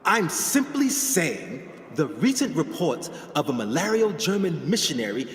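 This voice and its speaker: An actor playing a proud, passionate 1920s explorer and scholar in a radio play, speaking in oratorical style. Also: male voice, on the high side, big pitch swings, a little slow. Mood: fervent. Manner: declamatory, oratorical. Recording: echoey hall with long reverb.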